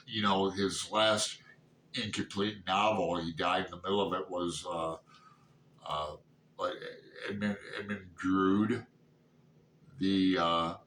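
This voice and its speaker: A man in his sixties chatting casually and unscripted about books, halting and rambling as he thinks aloud. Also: sits low, a bit bright. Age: 60s. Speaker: book reviewer vlogger. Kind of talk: casual unscripted book chat. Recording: roomy echo, distant mic, background noise.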